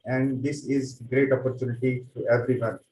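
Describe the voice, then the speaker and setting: A man's voice: mid-range voice, little pitch movement, moderate pace, a little mellow. A man giving an effusive, grateful testimonial as a community member on an online webinar. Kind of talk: earnest thank-you speech on a group webinar. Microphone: online video call. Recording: roomy, distant mic, faint background noise.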